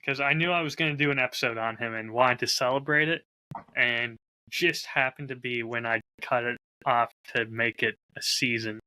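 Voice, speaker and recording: A man's voice: medium pitch, steady tone, normal speed, pushed and bright, dry recording, rough recording. A man talking casually as a host, showing off a bottle while recording on a close lav mic. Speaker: podcast host and whiskey audio drama creator.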